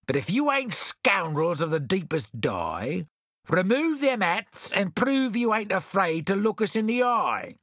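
A man voicing an indignant, rough-spoken koala who shouts insults and defends his pudding. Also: high voice, big pitch swings, measured pace, dry recording, clean studio sound, pitched above the performer's own voice, full character voice.

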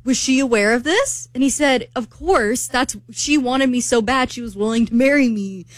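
Young woman co-host, upbeat storyteller, slightly high voice, dry studio sound. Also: normal speed, no room sound. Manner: animated, laughing storyteller. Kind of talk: casual storytelling chat.

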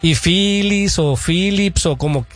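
Relaxed radio sports host. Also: male voice, high-pitched, slow pace, soft background music. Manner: reads messages aloud, relaxed banter. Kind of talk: casual radio sports chat reading listener texts.

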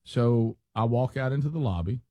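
A man's voice: medium pitch, normal speed, dry recording, muffled recording. Man in his sixties hosting radio. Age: 60s. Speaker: radio show host. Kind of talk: casual storytelling and banter.

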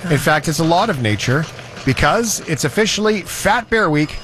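A man hosting a talk show, telling stories in a chatty, animated way. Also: fairly high pitch, normal speed, noisy background. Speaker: show host. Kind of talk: casual talk-show banter.

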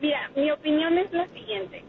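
A woman's voice: very high voice, normal speed. Mexican woman calling from a noisy car. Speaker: caller. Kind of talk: phone-in chat. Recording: noisy phone call from a vehicle.

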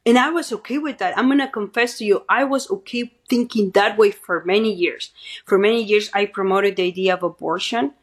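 Husky woman with a Mexican accent. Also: medium pitch, brisk. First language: Spanish. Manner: earnest, tags statements with "right?". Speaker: guest, pro-life speaker. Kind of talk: interview answers.

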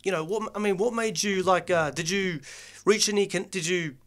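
A man's voice: high-pitched, moderate pace, fairly bright tone. A man hosting a radio interview in a casual, hesitant way, full of fillers and restarted questions. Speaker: radio host.

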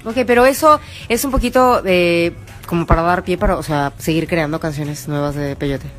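Woman, radio interviewer, relaxed drawn-out speech, faint background music. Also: on the low side.

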